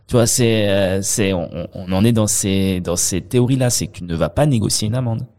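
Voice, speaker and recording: A French accountant talking through his work in a relaxed interview, with many fillers and restarts. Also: lowish voice, normal speed. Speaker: accountant, guest. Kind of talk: conversational interview.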